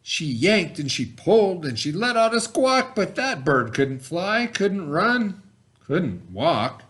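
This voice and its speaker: Man, storybook read-aloud, theatrical, highly varied pitch, unhurried, dramatic pauses, rhyming verse. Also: sing-song, a bit bright. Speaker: storybook reader.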